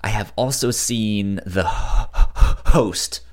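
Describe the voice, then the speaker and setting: Low-voiced man with a stutter. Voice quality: stutter, repeats first sounds of words. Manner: halting, hesitant delivery with frequent restarts. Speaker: podcast co-host. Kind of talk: casual film discussion.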